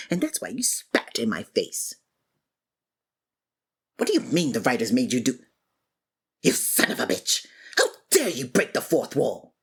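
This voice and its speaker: A woman with an unusually deep, breathy voice delivers a scripted villain roleplay. Her pitch leaps wildly between low grumbles and high exclamations. The delivery is theatrical and exasperated. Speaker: ASMR voice actor playing a villain.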